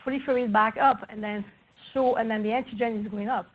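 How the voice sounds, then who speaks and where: Brief: woman researcher, soft and slightly husky, fairly high voice, halting talk, muffled recording. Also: steady tone. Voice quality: soft, low-volume voice. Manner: hesitant, many fillers and restarts. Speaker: research scientist presenting at a workshop. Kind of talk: scientific talk presenting slides.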